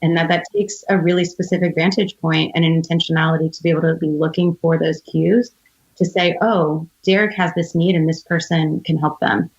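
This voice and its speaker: A woman's voice: sits low, fairly even intonation, fairly quick. A woman speaking as an interview guest, telling stories and giving advice in an earnest, articulate, emphatic way. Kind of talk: conversational storytelling and advice. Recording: dull, band-limited audio.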